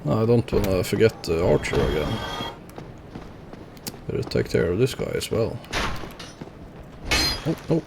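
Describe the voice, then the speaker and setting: A man's voice: on the low side, sing-song, unhurried, gravelly, pauses often, noisy background. A man streaming a video game, chatting casually and rambling with lots of fillers as he plays. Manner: rambling, lots of fillers, chuckles at his own deaths. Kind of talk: casual gameplay commentary.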